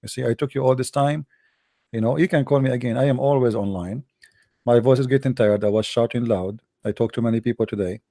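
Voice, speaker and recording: Man, mellow dark tone, tired dry voice, combative, repeats himself. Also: mid-range voice, a little fast, no room sound. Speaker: debate show host. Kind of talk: heated call-in debate.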